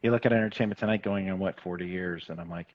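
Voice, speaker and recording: A man being interviewed in a casual, reflective chat, speaking in an earnest, halting, self-effacing way. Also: sits low, dull audio. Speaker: interview guest, talent agent turned show executive.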